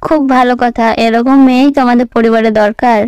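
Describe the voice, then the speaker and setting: A woman's voice: a little high, little pitch movement, quick pace, darkish tone, clear, resonant tone, no echo, lo-fi sound, lightly acted. A woman voicing a polite, approving parent of a prospective groom in a comic cartoon. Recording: faint noise and clicks behind.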